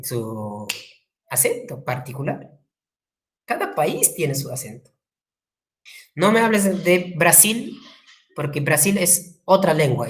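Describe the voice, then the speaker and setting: A woman with a Peruvian Spanish accent giving a classroom lecture, acting out quotes and asking the class questions. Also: deep voice. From Peru. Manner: lively, acts out quotes, asks the class questions. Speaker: teacher.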